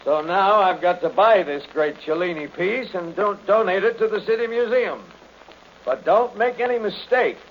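Wealthy blustery brewer, high voice, formal emphatic speechmaking, 1940s radio drama, muffled recording. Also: male voice, moderate pace, slight background noise. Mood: exasperated, firm.

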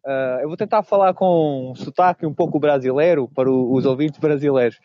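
A man with a European Portuguese accent explains his trading views patiently, pausing on "ah" fillers. His voice sits a little high and comes through a dull, dry call line. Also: no echo. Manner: measured, explanatory, with many "ah" fillers. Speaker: guest, trader. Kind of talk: casual interview over a call. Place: outdoors on a beach walk. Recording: muffled call audio, dry.

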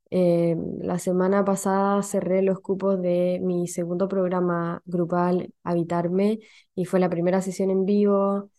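A young woman with a Chilean accent hosting a calm, reflective podcast, speaking slowly and gently as she guides the listener. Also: on the low side. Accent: Chilean Spanish. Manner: slow, gentle and soothing, guides breathing. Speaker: podcast host.

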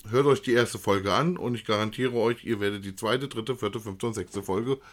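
A man's voice: fairly low pitch, normal speed. Casting: man, informal co-host who rambles and talks to the audience. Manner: rambling, addresses listeners directly. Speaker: podcast co-host. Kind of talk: casual chat about audio dramas.

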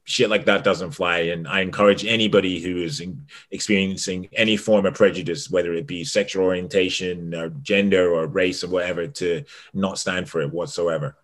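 Deep-voiced man chatting about fights. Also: fairly even intonation, brisk, hardly any pauses, a bit bright. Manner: rambling, restarts often, laughs easily. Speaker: podcast co-host. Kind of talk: casual sports chat.

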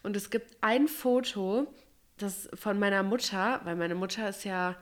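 A woman's voice: medium pitch, measured pace. A woman chatting casually and emphatically as a podcast co-host, a little stuffy from a cold.

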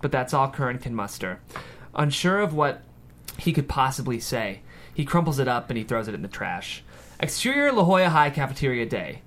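A man's voice: medium pitch, big pitch swings, normal speed, a bit bright. A man narrating a screenplay, reading stage directions aloud at a table read.

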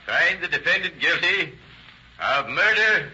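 An actor playing a solemn, deliberate court official in a 1930s radio courtroom drama. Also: male voice, fairly high pitch, lively intonation, bright, forceful tone, exaggerated voice. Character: formal male court official reading verdict. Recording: muffled, hissy old radio recording.

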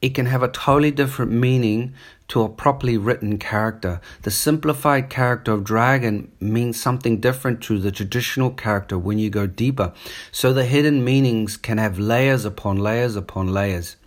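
A man's voice: medium pitch, normal speed. A man with a New Zealand accent and an ordinary mid-range voice, talking loosely and conversationally. He wanders off into asides and then pulls himself back to his point, as if chatting. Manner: rambling, digressive, conversational. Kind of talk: casual spoken monologue. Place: on holiday up the coast in Australia.

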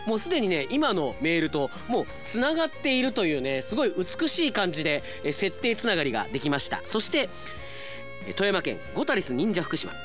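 A man's voice: high voice, fairly bright tone. A male radio announcer presenting a segment and narrating a scripted radio drama. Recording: music bed behind the voice.

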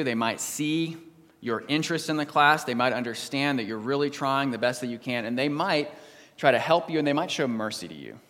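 A pastor reads a psalm in a measured, solemn voice, then prays and preaches a sermon to his congregation. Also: mid-range voice, a bit bright, long reverb tail. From United States. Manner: measured, solemn reading, livelier when telling stories. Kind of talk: sermon with scripture reading and prayer.

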